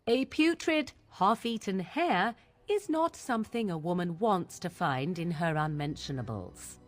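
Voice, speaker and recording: A woman's voice: on the low side, expressive, a little slow. An actress playing a haughty, scornful female mage in a fantasy video game. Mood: disgusted, scornful. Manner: haughty, disdainful.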